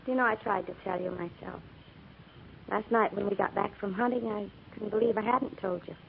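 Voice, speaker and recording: An actress plays a gentle, gracious woman of the Old West with an American accent. Her delivery is polite and earnest, at a medium pitch. The sound is a narrow, faintly hissy 1950s radio drama recording. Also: moderate pace, gravelly, close to an everyday voice. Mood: tender, regretful.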